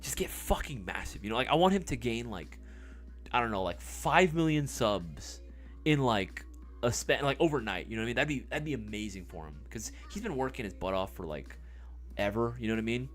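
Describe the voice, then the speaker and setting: Lively rambling male stream host. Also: mid-range voice, brisk, soft background music. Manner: rambling, filler-heavy, swears casually. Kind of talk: casual live stream chat, reading viewer comments.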